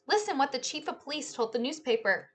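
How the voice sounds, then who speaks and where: A woman voicing a matter-of-fact father in a children's storybook, reporting the news in a measured way. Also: sits high, animated. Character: father reading newspaper aloud at breakfast, matter-of-fact.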